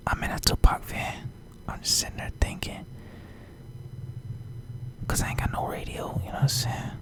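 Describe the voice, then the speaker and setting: A young adult American man whispering an ASMR story in a slangy, rambling way, often repeating himself and checking in with the listener. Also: a little high.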